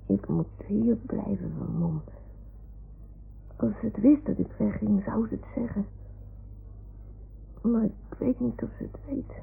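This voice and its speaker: A woman's voice: on the high side, unhurried, vocal fry, pauses often, husky, full character voice. A woman playing an imaginative, earnest young boy who repeats grown-ups' words to his toy animals in a radio play. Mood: earnest, pondering. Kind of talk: 1960s Dutch radio play acting. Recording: old radio recording, phone-like band, noise.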